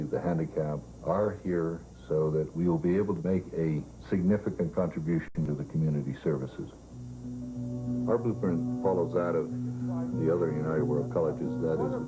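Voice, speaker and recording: A man's voice: extremely low pitch, low-quality recording. A man earnestly explaining his college's plans in a documentary interview. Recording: documentary with loud music underneath.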